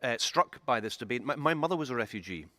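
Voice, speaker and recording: A man's voice: mid-range voice, animated, fast, pushed and bright, no room sound. A man whose first language is German, speaking personally and with heartfelt restarts on a conference panel. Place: conference hall.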